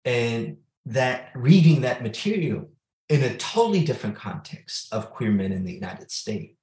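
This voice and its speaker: A man who grew up in Ethiopia, an author speaking slowly and thoughtfully as a guest in an interview. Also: mid-range voice, sing-song, darkish tone, roomy sound. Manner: slow, thoughtful, with frequent restarts. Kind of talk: reflective interview answer.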